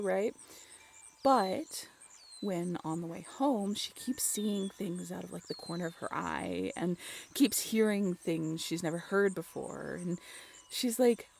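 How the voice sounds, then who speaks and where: A woman playing an excitable young woman in an audio roleplay, telling a story casually with conversational asides. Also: lowish voice, creaky, slight background noise. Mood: giddy, teasing. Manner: informal, giggly, conversational asides with rhetorical questions.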